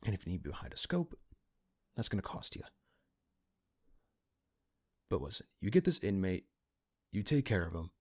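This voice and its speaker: A man playing a cynical, pragmatic partner in crime to a vigilante in an ASMR roleplay, talking in a casual, halting, conspiratorial way. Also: low-pitched, big pitch swings. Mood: businesslike planning. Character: cynical, pragmatic male criminal accomplice to a vigilante.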